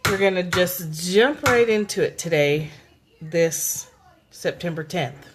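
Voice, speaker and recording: A woman hosting a live stream from home, reading a daily spiritual text and talking it through in a relaxed, unhurried way, greeting viewers by name. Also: on the low side, background noise. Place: home, children in background. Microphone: phone.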